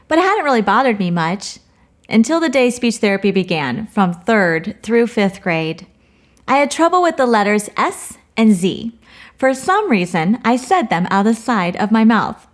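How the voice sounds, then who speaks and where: Earnest woman Bible teacher, higher voice. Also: very animated, moderate pace. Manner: earnest and personal, with light humor.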